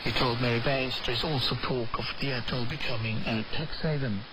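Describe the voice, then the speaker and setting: A man's voice: mid-range voice, fairly even intonation, brisk. A man working as a radio news reporter, reading news and asking interview questions. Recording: very noisy analog shortwave radio.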